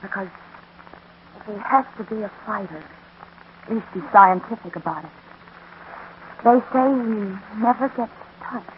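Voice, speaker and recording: A woman's voice: medium pitch, close to an everyday voice. A woman playing an intense young mother, tinny old radio. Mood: polite, guarded. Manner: intense, deliberate, theatrical. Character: brooding, intensely devoted young mother, boxer's wife. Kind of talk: 1940s radio drama acting. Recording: narrow-band 1940s radio recording, faint noise.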